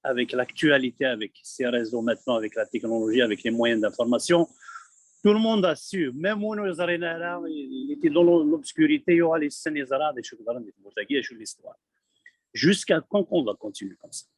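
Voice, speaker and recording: A man from Kabylie speaking abroad, giving an urgent, halting testimony full of repeated words. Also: mid-range voice, no room sound. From Kabylie, Algeria. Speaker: community member abroad. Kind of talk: impassioned testimony.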